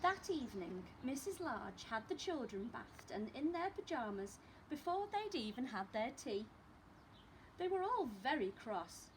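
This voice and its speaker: A high-voiced woman teacher doing storytime for little ones. She is very animated and expressive, taking her time, with clear noise in the background. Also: unhurried, low-quality recording. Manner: expressive, addressing young children. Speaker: primary school teacher reading stories. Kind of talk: children's storytime read-aloud.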